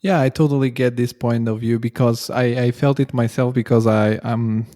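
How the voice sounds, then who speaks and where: A young man speaks in an even, mellow voice with little rise and fall. He chats easily as the host, and the sound is dry and close. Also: medium pitch, normal speed, dry recording. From Bulgaria, raised in Italy. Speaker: podcast host. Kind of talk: podcast interview.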